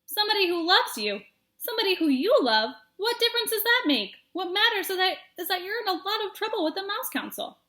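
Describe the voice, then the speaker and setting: A woman acts out a young male mouse from a storybook, bossy and thoroughly fed up. The voice jumps up high and pushes hard on each point. He scolds in emphatic exclamations. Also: big pitch swings, brisk, pushed and bright, heavily acted. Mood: exasperated, urgent.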